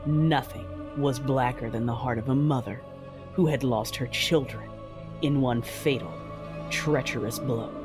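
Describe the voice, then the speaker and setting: Woman acting a cold, vengeful Sith mother over music. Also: extremely low pitch. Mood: cold fury. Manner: measured, solemn, menacing. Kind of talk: dramatic first-person scripted narration.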